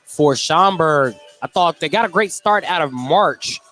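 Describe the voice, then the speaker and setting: A man's voice: fairly high pitch, animated, measured pace, bright, forceful tone, soft background music. A man calling a live basketball game on the radio as the play-by-play announcer. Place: at courtside or press position in a gym during a game.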